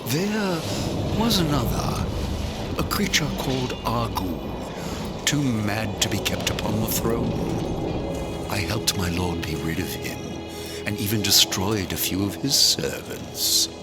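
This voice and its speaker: A haughty, scheming servant of a dead king in a game cutscene. He is theatrical and condescending, with slow speech that rises and falls dramatically. Loud cinematic music sits behind the voice. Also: on the low side, animated. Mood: smug. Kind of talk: video game cutscene acting.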